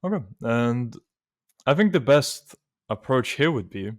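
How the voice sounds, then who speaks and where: A man conducting an interview, asking questions in a measured, methodical way. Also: medium pitch, animated.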